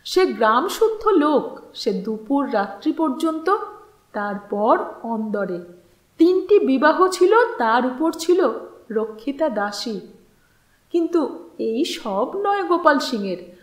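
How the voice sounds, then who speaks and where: High-voiced woman narrating slowly in echo. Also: big pitch swings. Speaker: audiobook narrator and storyteller. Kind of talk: expressive literary story narration. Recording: roomy, echoing voice recording.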